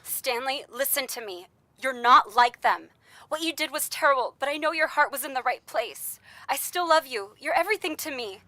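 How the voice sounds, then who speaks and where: Female actor for tender young sweetheart in radio drama: high, bright voice, soothing yet pleading, dry close recording. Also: expressive, normal speed, no echo. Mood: tender, reassuring.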